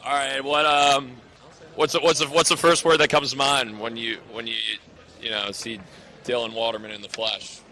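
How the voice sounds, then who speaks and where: Loud bright-voiced man joking on stream. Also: medium pitch, little pitch movement, normal speed. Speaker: co-host. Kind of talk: casual livestream chat.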